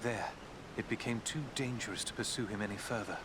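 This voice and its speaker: An actor playing a noble woodland elf prince in a fantasy game, speaking in a formal, measured, solemn manner. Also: male voice, fairly low pitch, close to an everyday voice. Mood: regretful, grave. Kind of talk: fantasy video game character acting. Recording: clear background ambient noise.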